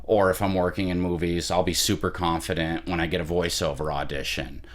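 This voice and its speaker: A young man, an actor, answering interview questions in an earnest, measured way with some restarts. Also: low-pitched, level tone. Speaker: actor guest.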